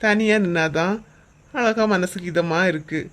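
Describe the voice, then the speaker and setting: A woman voicing a teasing, gruff middle-aged husband in a cartoon comedy, speaking with a Kanyakumari Tamil accent. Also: fairly low pitch, measured pace, natural voice. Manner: brusque, scolding, teasing banter.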